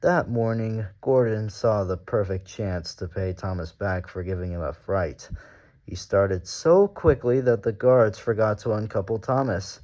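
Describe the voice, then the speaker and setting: A man's voice: lowish voice, steady tone, a little slow, darkish tone, muffled recording. A man narrating a children's storybook aloud as the story reader.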